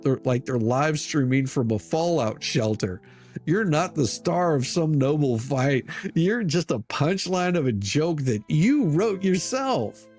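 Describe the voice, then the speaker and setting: A man's voice: on the high side, darkish tone. A man giving a dramatic, scathing reading of an open letter in a mocking, theatrical, profanity-laced way. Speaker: online commentator. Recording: music under the voice. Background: music.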